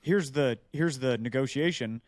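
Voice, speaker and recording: Male podcast panelist, medium pitch, frank and advisory, conversational, dry close recording. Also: measured pace, a little mellow, no echo. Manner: advising, frank, swears casually. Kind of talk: casual podcast discussion.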